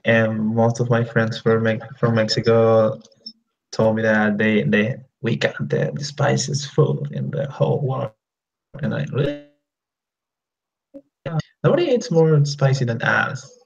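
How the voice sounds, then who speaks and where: Halting man on a dull lo-fi call line. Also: lowish voice, lively intonation, creaky. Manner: halting, searching for words with many fillers. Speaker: learner on a practice call. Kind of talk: casual chat over video call. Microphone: video call. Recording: dull lo-fi video call audio.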